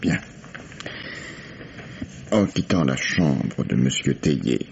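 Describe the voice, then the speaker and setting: An actor playing a patient, methodical police commissioner in a radio mystery, measured and probing, courteous but firm. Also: male voice, extremely low pitch, very animated, creaky, a little mellow, full character voice. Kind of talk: French radio mystery drama acting. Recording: dull, noisy old radio recording.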